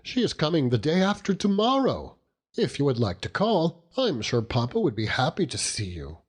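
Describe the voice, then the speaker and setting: Genteel young lady friend voiced by a male reader, pitched up, polite and friendly, classic novel style. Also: huge pitch swings, normal speed, slightly rough, exaggerated voice. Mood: polite inviting. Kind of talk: audiobook character voice.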